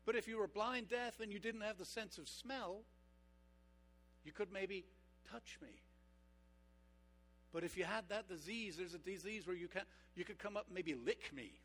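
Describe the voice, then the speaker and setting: High-voiced pastor preaching. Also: male voice, fairly bright tone, a little hiss or hum. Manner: engaging, asks the congregation rhetorical questions; slows down for emphatic points. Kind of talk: sermon.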